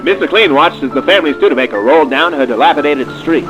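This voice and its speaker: A man's voice: a little high, lively intonation, quick pace, over music, lightly acted, rough recording. A man playing a dry, dramatic narrator, reading a scripted mock biography in a comic radio play. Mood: mock solemn.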